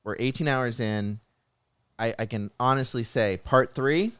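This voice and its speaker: A man's voice: mid-range voice, measured pace, fairly bright tone, clean tone, no echo. A man hosting a podcast in casual chat, with a joking, informal manner and the odd swear. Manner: joking, informal, mildly profane. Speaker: podcast host.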